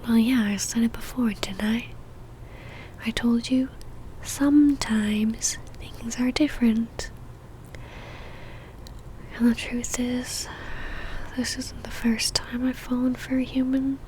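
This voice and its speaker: A woman playing an obsessive, seductive female vampire in a whispered ASMR roleplay, speaking intimately with a teasing, soft menace. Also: on the high side, fairly even intonation, slow, pauses often, slight background noise, poor audio. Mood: wistful, ominous.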